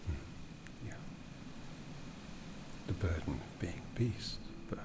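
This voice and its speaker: A man voicing an ancient, aristocratic vampire lord in a breathy half-whisper for an ASMR roleplay, menacing, world-weary and condescending, with deliberate theatrical phrasing. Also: low voice, expressive, heavy background noise. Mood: brooding, weary.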